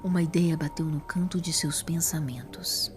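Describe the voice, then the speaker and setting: A woman's voice: sits low, steady tone, normal speed, faint music behind. A woman with a Brazilian accent reading scripted narration for a dramatic audio story.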